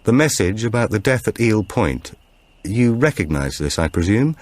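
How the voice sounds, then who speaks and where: A man's voice: on the low side, big pitch swings, normal speed, a little mellow, no room sound, pitched above the performer's own voice. A man reading as a blunt, persistent policewoman, direct and insistent in questioning.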